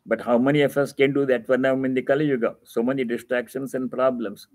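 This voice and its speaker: A man's voice: mid-range voice, level tone, fast talker, darkish tone, dry recording. A man giving a religious discourse as a monk, reading scripture aloud and explaining it in a didactic, emphatic way, repeating key phrases for stress.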